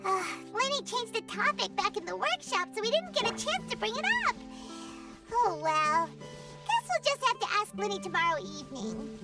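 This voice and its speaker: Very high, squeaky little game companion. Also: female voice, very animated, normal speed, over loud music, exaggerated voice. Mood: puzzled. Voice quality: squeaky, childlike. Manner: perky, cartoonish, emphatic. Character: small excitable childlike floating girl companion, speaks in third person. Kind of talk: video game voice acting.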